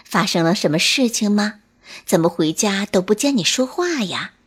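A caring mother squirrel, voiced by a woman in a children's bedtime story. She speaks softly and gently, full of concern. Her questions come out warm and patient. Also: medium pitch, expressive, normal speed, slightly rough.

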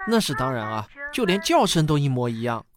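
A man's voice: medium pitch, big pitch swings, brisk, a crowd in the background, lightly acted. A man playing a patient, kindly father who tells stories in a radio drama. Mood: reminiscing. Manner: warm storytelling, patient explaining. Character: patient, kindly father explaining family history to his daughter.

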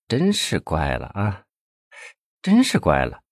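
Narrator voicing a startled, puzzled police chief in a storybook drama. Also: male voice, on the low side, sing-song, a little fast, breathy edge, no room sound, clean studio sound. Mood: baffled. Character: male police chief, shocked and baffled.

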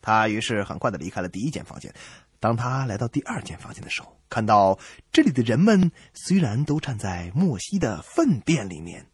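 A man with a very husky, rough voice hosts a radio comedy show. He tells stories theatrically yet conversationally, with lively swings of pitch, recorded dry over faint music. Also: fairly quick, dry recording. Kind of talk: animated joke telling.

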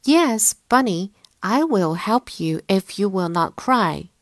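A woman's voice: medium pitch, expressive, takes it slowly, dry recording, studio quality. A woman voicing a helpful, firm mother bird in a children's storybook, giving instructions with emphasis.

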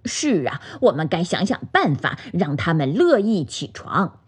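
Woman as little puppy, friendly, eager, coaxing, animated storybook voice. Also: a little high, normal speed, slightly rough, voice pushed up. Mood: thoughtful.

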